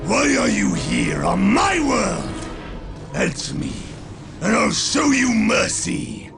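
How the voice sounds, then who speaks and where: An actor playing a bloodthirsty, arrogant warlord in a video game, with a bombastic, menacing delivery. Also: male voice, high-pitched, huge pitch swings, slow, creaky, shouting, music in the background, heavily acted. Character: bloodthirsty, arrogant male Chaos warlord, warrior fanatic. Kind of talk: theatrical video game voice acting.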